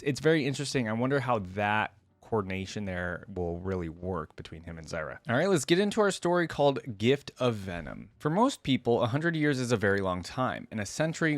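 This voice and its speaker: A man's voice: fairly low pitch, dry recording. A man hosting a reaction video, reading a story aloud expressively and adding casual commentary.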